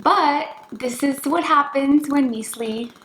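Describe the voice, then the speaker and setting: A woman's voice: high voice, slow pace, fairly bright tone, a little hiss or hum. A woman co-hosting a vlog, telling a story in a casual, chatty way. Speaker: vlog co-host. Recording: echoey room with faint music.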